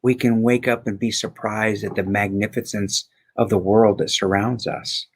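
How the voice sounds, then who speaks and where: A man with a medium-pitched voice talks as a guest in a casual, inspirational conversation. His phrasing is deliberate and emphatic, building through repeated openings. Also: flat delivery.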